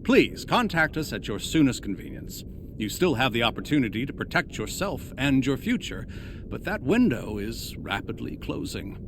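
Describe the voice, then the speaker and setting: A man's voice: mid-range voice, big pitch swings, normal speed. A man playing a formal, slick corporate official in a video game, reading out a letter with polite menace. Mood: politely threatening. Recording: clear background noise and ambience.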